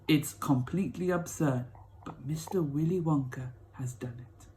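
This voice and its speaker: A man reading a children's story as an excitable old grandfather, full of wonder, emphatic and savoring each detail. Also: on the high side, moderate pace, slight background noise, close to an everyday voice. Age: older. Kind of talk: children's storybook read-aloud.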